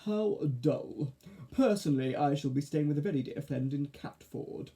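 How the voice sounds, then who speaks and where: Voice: mid-range voice, brisk, dark tone, close to an everyday voice. A storyteller voicing a self-important, languid cat with a disdainful drawl for a children's story. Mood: bored, disdainful.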